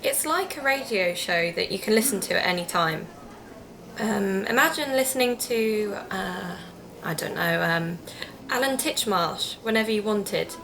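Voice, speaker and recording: A woman's voice: mid-range voice, lively intonation, a little slow. Courteous British woman podcaster, faint noise acted. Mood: polite, persuasive. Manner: courteous, explanatory. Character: polite, persuasive female amateur podcast investigator. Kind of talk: audio drama dialogue.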